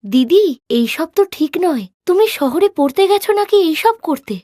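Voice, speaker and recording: An earnest young village girl in a cartoon, voiced by a woman. She speaks high and expressively, pleading politely and with reproach, recorded very dry. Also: lively intonation, normal speed, dark tone, no room sound. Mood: reproachful. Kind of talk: cartoon character dialogue.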